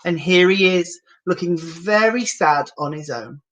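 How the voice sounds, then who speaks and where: A woman's voice: fairly low pitch, measured pace, dull audio, slight background noise. A woman reading a picture book aloud to children in a theatrical, playful manner, with asides to the viewers. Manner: theatrical, playfully self-mocking. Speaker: children's storyteller, drag queen.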